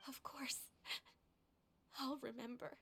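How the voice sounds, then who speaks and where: High, halting, timid young orphan. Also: female voice, fairly even intonation, gravelly, a bit bright, a little hiss or hum, heavily acted. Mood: subdued. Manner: halting, meek. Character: timid, fearful young member of an orphanage, deferential. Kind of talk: video game voice acting.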